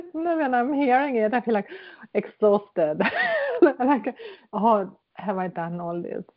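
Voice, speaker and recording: A woman's voice: on the high side, sing-song, slow pace, dry recording. A woman with a Swedish accent talks as an interview guest, explaining things conversationally. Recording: dull, lo-fi sound.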